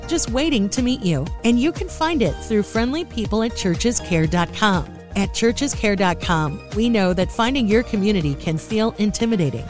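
A woman's voice: mid-range voice, a little mellow. A woman reading scripted advertising narration as a voice-over. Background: music bed.

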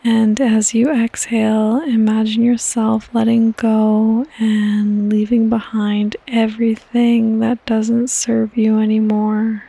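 A woman hosting a guided sleep meditation in a soft, breathy, partly whispered voice, with calm, gentle instructions and long pauses. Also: on the high side. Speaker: podcast host and meditation guide.

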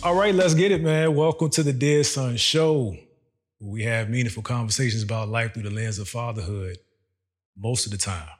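A man hosting a casual podcast conversation, his voice slightly husky at a middle pitch. He keeps things friendly and upbeat, chuckling easily between questions. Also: normal speed, soft background music. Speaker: podcast host.

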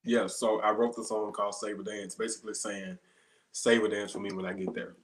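A man's voice: on the low side, level tone, fairly quick, noisy background. Man in his thirties, echoey room. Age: 30s. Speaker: guest singer-songwriter. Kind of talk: casual interview chat, explaining songs. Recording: roomy, echoey sound.

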